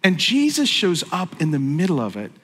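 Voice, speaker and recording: A middle-aged pastor from Northern Ireland gives a sermon, chatty and joking, with plenty of asides. His voice sits fairly high and has a bright, forceful edge. A long reverb trails behind him, as in a large church hall. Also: huge pitch swings, moderate pace, slightly rough. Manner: jokey, conversational, self-interrupting.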